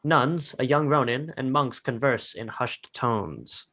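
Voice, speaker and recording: A man narrates a scripted drama in a mid-pitched voice. He reads out scene headings and stage directions as the narrator. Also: slow pace, sharp tone.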